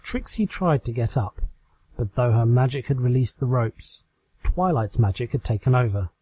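A man's voice: medium pitch, lively intonation, normal speed, dark tone, breathy edge, dry recording. A British man reading a story aloud as a scripted amateur narration. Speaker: amateur fan fiction reader.

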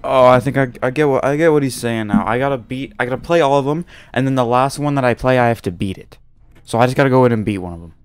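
A man's voice: medium pitch, fast talker, smooth, faint music behind. A young man giving casual, offhand live gaming commentary, muttering to himself and swearing. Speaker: game streamer.

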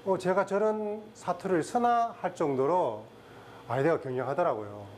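Gyeongsang man, slightly high voice, interview chat. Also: moderate pace, a little mellow, slight background noise. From Daegu, South Korea.

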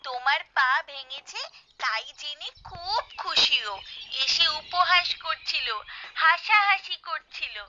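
A woman's voice: very high voice, expressive, slow, noisy background, heavily acted. A woman playing an indignant, protective village wife in a cartoon, with a gossiping manner. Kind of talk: Bengali cartoon acting. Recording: dull lo-fi track, music behind.

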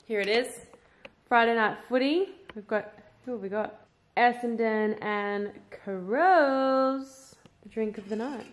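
A woman's voice: on the high side, slow pace. Chatty woman in an echoey room. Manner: chatty, gushing, lots of "like". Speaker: vlogger. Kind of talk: casual vlog chat. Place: home gym and kitchen, indoors. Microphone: handheld camera at arm's length. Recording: echoey room with faint noise.

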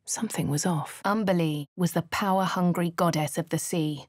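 Woman doing wry posh english rpg heroine, husky voice, kinda low, game narration. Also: steady tone, moderate pace, dry recording, studio quality, natural voice. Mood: measured, wry. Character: posh, wry female fantasy adventurer. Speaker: video game player character. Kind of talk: scripted game narration and character lines.

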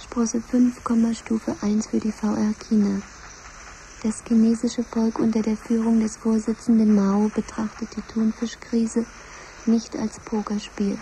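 A very bright, sharp female computer voice delivers formal announcements in a 1970s radio play. She is measured and ceremonious, with faint noise on the recording. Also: fairly high pitch, level tone, rough recording. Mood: solemn, official. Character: official female computer voice of a crisis command centre.

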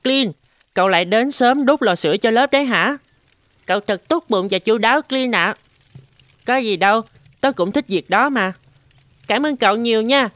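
A woman's voice: a little high, lively intonation, moderate pace, pauses often, fairly bright tone, no room sound. A woman voicing kind, cheerful little schoolchildren in a cartoon, friendly and earnest.

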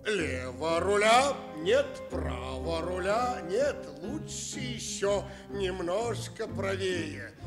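A high-voiced, slow and boastful sea captain, dithering cluelessly in a Soviet radio musical. Loud music plays along with him. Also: male voice. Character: blustering, clueless sea captain, victor of the seas.

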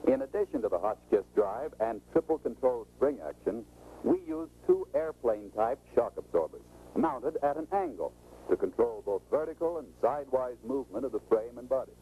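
A man's voice: medium pitch, wildly swooping pitch, normal speed, vocal fry, mellow, a little hiss or hum, poor audio. A middle-aged American man giving scripted, instructive, salesmanlike narration in the style of a 1940s training film, on an old film soundtrack. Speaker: automotive expert presenting a sales training film.

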